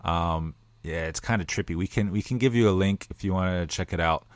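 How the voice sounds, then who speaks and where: A man's voice: deep voice, brisk, no echo. A man co-hosting a podcast, chatting in an upbeat, joking way. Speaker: podcast co-host. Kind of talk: casual podcast chat.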